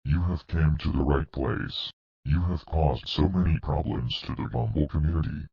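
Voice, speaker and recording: A man voicing a menacing, vengeful entity in a gravelly, husky voice. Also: a bass voice, fairly even intonation, moderate pace, heavily acted. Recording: dull lo-fi sound.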